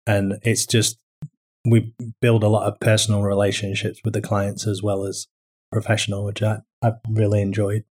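Guy on a podcast, lowish voice, pretty flat, dry jokey. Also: moderate pace, a little hiss or hum. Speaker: guest, business co-owner. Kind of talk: casual interview chat.

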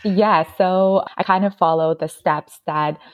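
A woman in her thirties from Ukraine, Ukrainian her first language, speaks at a low pitch and tells her story in a reflective, easygoing way. Her voice sits close and dry, with almost no room sound around it. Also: fairly even intonation, a little slow, no echo, narrow, tinny audio. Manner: reflective, telling stories with small laughs. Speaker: podcast guest. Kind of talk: casual interview talk.

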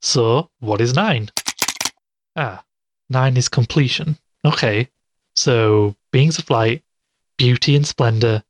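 An actor playing a skeptical investigative podcast host in an audio drama, haltingly thinking aloud. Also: male voice, medium pitch. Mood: dismissive, reasoning. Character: skeptical male investigative show host puzzling over clues.

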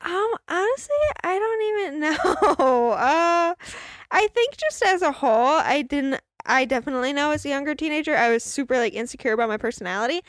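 A woman's voice: high voice, lively intonation, brisk, no echo, clean studio sound. A young woman co-hosting a casual chat show, laid-back and slangy, often laughing. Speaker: co-host.

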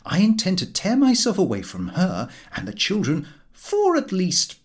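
A man voicing a married Edwardian gentleman in an audiobook, restless and scheming, with a wordy, declamatory manner. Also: high-pitched, huge pitch swings, normal speed, voice pushed up. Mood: declamatory, resolute.

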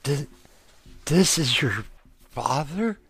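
A man's voice: on the high side, lively intonation, takes it slowly. An actor playing an attorney confronting his lover, probing and accusatory, breaking into stammers. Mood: panicked. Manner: probing, then agitated and stammering. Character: confrontational male attorney, growing panicked. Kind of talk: horror radio play acting.

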